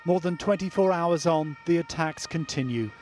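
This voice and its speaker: Male reporter for scripted news voice-over, pitch a little high. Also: normal speed, a little mellow, faint music behind.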